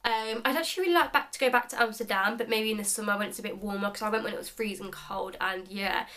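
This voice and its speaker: A young woman in her mid-twenties talks straight to her viewers in a relaxed, chatty vlog. Her delivery is upbeat and rambling, sprinkled with filler words. Her voice sits at an ordinary middle pitch for a woman. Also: quick pace. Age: 20s. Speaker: beauty vlogger.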